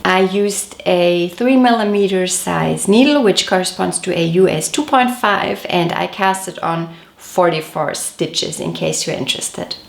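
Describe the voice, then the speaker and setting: A woman's voice: lowish voice, a little slow, gravelly, hardly any pauses. A woman hosting a knitting podcast, chatty and detailed, her voice a little off as she recovers from a cold. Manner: chatty, detailed, gently self-correcting. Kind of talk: casual show-and-tell podcast. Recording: echoey room.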